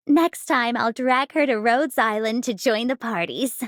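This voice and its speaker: A woman's voice: fairly high pitch, measured pace, slight background noise, natural voice. An actress playing a confident young woman in a scripted game monologue. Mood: playful, knowing.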